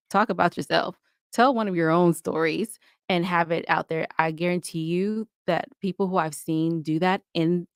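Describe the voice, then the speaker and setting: A woman's voice: lowish voice, clear, resonant tone, no room sound, clean studio sound. A woman podcaster speaking conversationally as an interview guest, giving advice in an emphatic, opinionated way.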